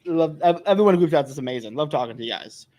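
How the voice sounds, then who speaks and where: A man's voice: on the high side, lively intonation, very fast, warm tone. A man hosting a live podcast, chatty and rambling, laughing often and a bit tipsy. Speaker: podcast host. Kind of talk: casual chat.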